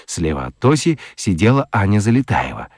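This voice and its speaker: A male narrator telling a children's story with gentle, highly expressive delivery. His fairly low voice swoops widely in pitch from phrase to phrase. The sound is dry and close, with no room around it. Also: brisk, no room sound.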